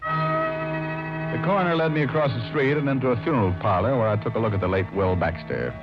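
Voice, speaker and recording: A man's voice: mid-range voice, wildly swooping pitch, brisk. A man acting a dry, tough, wisecracking city detective in old-time radio style. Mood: dry narration. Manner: dry, sardonic. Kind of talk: 1950s radio acting, first-person narration and dialogue. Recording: muffled vintage radio recording, music behind.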